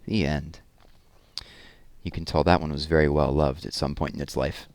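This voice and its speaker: A man reading a children's story aloud as host, with an expressive, deliberate storyteller delivery. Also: extremely low pitch, dry recording. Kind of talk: expressive children's picture book read-aloud with informal asides.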